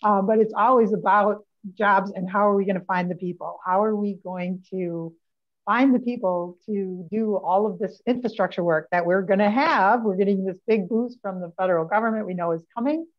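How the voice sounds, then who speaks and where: An older woman speaking as a state senator on an online panel, lively and gesturing as she talks. Her voice sits at a middle pitch and comes through a slightly dull, muffled video call line from a home office. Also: moderate pace. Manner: animated, gestures a lot while talking.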